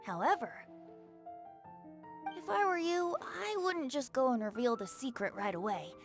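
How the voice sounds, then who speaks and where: A woman's voice: high voice, lively intonation, a little slow, music in the background. A woman voicing a carefree, teasing young boy bard in a video game, playful, breezy and theatrical. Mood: theatrical, sly.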